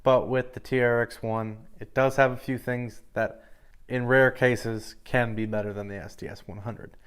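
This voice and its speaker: A man's voice: mid-range voice, fairly even intonation, normal speed, clear, resonant tone. A man giving an informal product review in a matter-of-fact, explanatory way.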